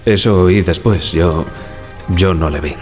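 A man playing a subdued Titanic wireless operator giving halting, deferential testimony in a radio drama. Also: deep voice, darkish tone, soft background music. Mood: hesitant, respectful. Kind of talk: dramatized testimony over music.

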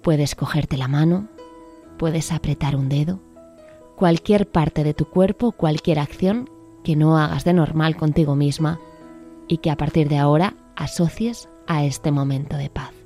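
A woman presenting a guided meditation with a calm, gentle, measured delivery. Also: deep voice, faint music behind. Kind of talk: scripted meditation introduction.

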